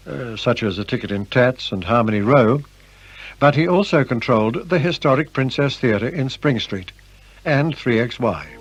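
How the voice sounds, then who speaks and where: A man with a husky voice presenting a scripted, nostalgic radio segment. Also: mid-range voice, no echo. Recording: dull lo-fi old radio tape.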